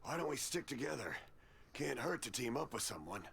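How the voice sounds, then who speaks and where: A man's voice: mid-range voice, very animated, fairly quick, gravelly, fairly bright tone, a little hiss or hum, full character voice. An actor playing a friendly but cautious male traveler fleeing war in a video game. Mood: wary, friendly.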